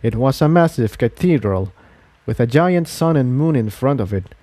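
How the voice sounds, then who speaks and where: A man's voice: medium pitch, animated, normal speed, warm tone, no echo. A man reading a fantasy adventure story aloud as scripted narration. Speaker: fan fiction narrator.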